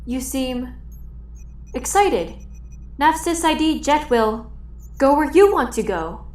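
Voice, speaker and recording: A woman voicing a loyal, gentle robot companion in an audio drama, speaking in halting, simple phrases. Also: high-pitched, animated, echoey room. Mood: earnest, devoted.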